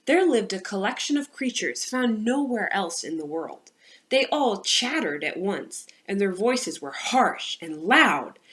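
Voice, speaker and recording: A woman speaking as a music teacher, presenting and reading children's material in an expressive, instructive way. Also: mid-range voice. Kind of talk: instructional presentation, then storybook read-aloud.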